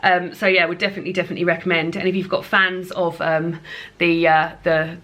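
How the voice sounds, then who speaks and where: A British woman with a bright, forward voice talks casually to her viewers as a book reviewer. She rambles through her thoughts and leans on repeated words for emphasis. The feel is an informal, chatty vlog. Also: on the low side, flat delivery, fairly quick.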